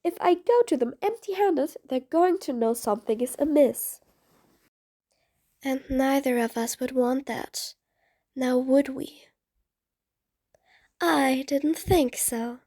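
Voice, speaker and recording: Female supervillain for audio roleplay, played by a woman: high voice, sarcastic, mean yet patient, grudgingly soft. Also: slow, long pauses, exaggerated voice. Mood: wry, menacing. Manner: sarcastic, dry, exasperated. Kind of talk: audio roleplay acting addressed to the listener.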